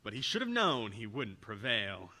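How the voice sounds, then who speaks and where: An actor playing a composed, confident gentleman hero in 1930s radio drama style, explaining things crisply. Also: lowish voice, wildly swooping pitch, moderate pace, over music. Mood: composed, relieved.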